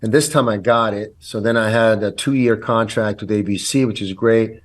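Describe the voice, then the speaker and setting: A man's voice: lowish voice, fairly even intonation, normal speed. A man in his fifties whose first language is Italian, telling stories of his career earnestly as a podcast guest, with many ums and restarts. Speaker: guest actor. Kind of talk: casual interview chat.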